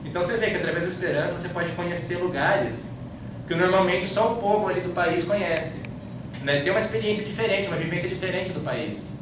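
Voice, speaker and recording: A man's voice: high-pitched, fast. Echoey, distant Brazilian man giving a talk. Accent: Brazilian Portuguese. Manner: conversational, anecdotal, frequent checking tags. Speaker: speaker giving a talk about his trip. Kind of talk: informal slide-show lecture, recounting travels. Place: indoor room, live talk to an audience. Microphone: far from the speaker. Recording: echoey room, distant mic, clear background noise.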